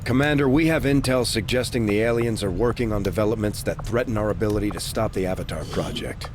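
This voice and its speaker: A man's voice: lowish voice, fast talker, a little hiss or hum, close to an everyday voice. An actor playing a businesslike military strategist advising his commander in a scripted video game briefing. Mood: earnest.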